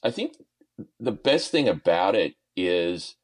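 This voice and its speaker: Deep-voiced Australian man, easygoing. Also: very animated, slow pace. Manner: easygoing, lots of ums and uhs, chuckles often. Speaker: golf coach and guest. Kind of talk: podcast interview, relaxed storytelling.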